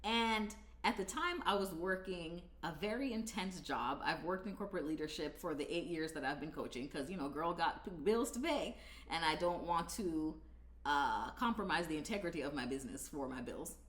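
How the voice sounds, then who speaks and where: A woman in her thirties with a deep voice, talking candidly and conversationally as a coach in a video. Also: normal speed, reverberant. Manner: candid, conversational, animated, punctuated with laughs. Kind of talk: direct-to-camera talk video.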